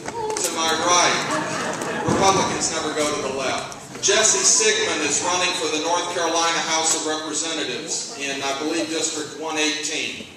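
A man's voice: fairly high pitch, background noise. A middle-aged man, chairing a party convention from the stage with folksy joking asides. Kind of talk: convention speech. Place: large hall with stage. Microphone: handheld mic through PA, camera far off. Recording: echoey hall from far off.

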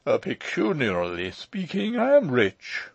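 Guy doing a fussy old uncle in a storybook, long-winded and formal, very animated, dry recording. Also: on the low side, a little slow, dry recording, dull audio, exaggerated voice. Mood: cheerful, pedantic. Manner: pedantic, formal, long-winded, hesitating with ums. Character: pompous, fussy, aggrieved old gentleman uncle.

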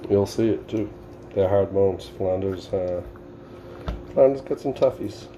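A man's voice: deep voice, measured pace, warm tone, a little hiss or hum. Plainspoken Boston man teaching in a kitchen. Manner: plainspoken, instructive, talking while working with his hands. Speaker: home cook demonstrating fish filleting. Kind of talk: casual step-by-step how-to. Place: home kitchen, indoors. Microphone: camera on the counter.

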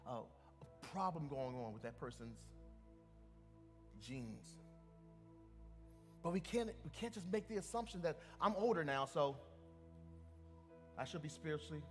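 High-voiced emphatic male pastor preaching. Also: big-room sound. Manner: emphatic, with repeated restarts and calls to the congregation. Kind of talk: sermon. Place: church sanctuary.

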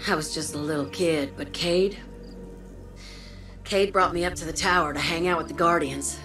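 A woman's voice: lowish voice, fairly even intonation, moderate pace, music in the background, lightly acted. A woman playing a plainspoken young pilot fondly reminiscing in a video game, informal and wistful. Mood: fond nostalgia. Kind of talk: video game audio recollection.

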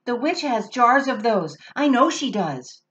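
A woman putting on a little werewolf, high, eager, tattling. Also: big pitch swings, unhurried, fairly bright tone, highs cut off.

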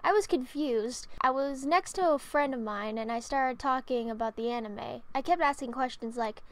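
A young adult woman narrating a storytime vlog as its creator, with an expressive, theatrical delivery. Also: high voice, normal speed.

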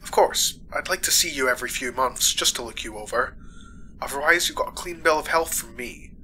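Male doctor, very bright forceful tone, formal and reassuring, sci-fi audio drama, slight noise. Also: medium pitch, poor audio. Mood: professional, reassuring. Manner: formal, measured, clinical. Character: calm, reassuring male space-hospital doctor. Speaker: voice actor. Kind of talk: audio roleplay acting.

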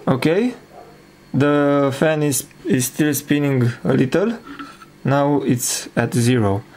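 A man giving an informal hands-on demonstration as presenter of an electronics project, with hesitant, halting phrasing. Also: mid-range voice, animated, a little mellow, faint music behind.

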